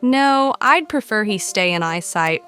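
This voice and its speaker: A woman's voice: medium pitch, animated, unhurried, a bit bright, clear, resonant tone. Casting: woman for protective, teasing young mother in an audiobook, middle register. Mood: worried. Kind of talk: audiobook character dialogue. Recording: music behind the voice.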